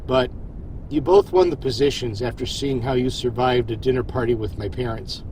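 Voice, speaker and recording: Male actor as genial starship captain, wry, commanding, mid-pitched, sci-fi audio drama. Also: fairly even intonation, moderate pace, background noise, close to an everyday voice. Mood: friendly, wry. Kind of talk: science fiction audio drama acting.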